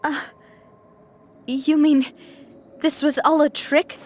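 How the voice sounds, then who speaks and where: Young woman leader: high voice, urgent and decisive, with faint noise behind. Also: level tone, slow. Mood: stunned. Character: confident young woman leader, caring, determined. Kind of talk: game cutscene voice acting.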